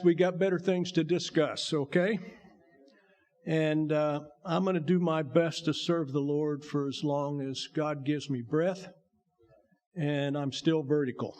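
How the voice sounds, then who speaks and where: An older man with a gravelly voice, a bishop giving a folksy, joking testimony to his church. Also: a little high. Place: church sanctuary.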